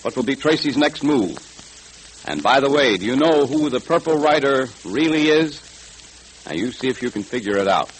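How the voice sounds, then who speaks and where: An American man announcing in 1930s radio style, emphatic and deliberate, pitching to young listeners. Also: breathy edge, low-quality recording. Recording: hissy 1930s radio recording.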